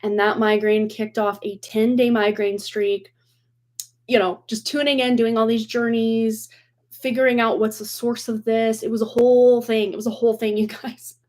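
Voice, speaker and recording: A woman's voice: a little high, little pitch movement, normal speed, darkish tone. A woman in her forties hosting a tarot livestream, chatting casually and rambling with frequent laughs and restarts, her voice a little worn from recent voice loss. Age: 40s. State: recovering from lost voice, slightly worn.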